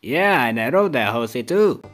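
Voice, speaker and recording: A man voicing a quick-witted, sarcastic cartoon boy hero in a game fan dub, dry in manner. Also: medium pitch, lively intonation, a bit bright, faint music behind, lightly acted.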